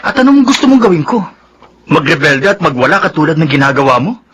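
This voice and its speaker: An actor playing a reasonable, dutiful younger brother and son with a husky voice in a Filipino radio melodrama. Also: male voice, a little high, sing-song, quick pace, a bit bright, slight background noise. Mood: troubled.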